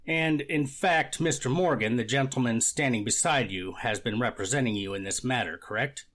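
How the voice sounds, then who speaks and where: A man plays a methodical male judge in a readers theater hearing. He has a mid-pitched voice and speaks formally, setting out procedure in measured phrases. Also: fairly bright tone, close to an everyday voice. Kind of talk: readers theater courtroom reenactment.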